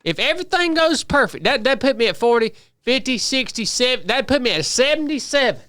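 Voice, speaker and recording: A man's voice: very high-pitched, big pitch swings, a little fast, sharp tone, no echo, clean studio sound. A man in his thirties, a podcast co-host, arguing his point emphatically in casual group chat. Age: 30s. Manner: emphatic, defends his views at length. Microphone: studio podcast mic.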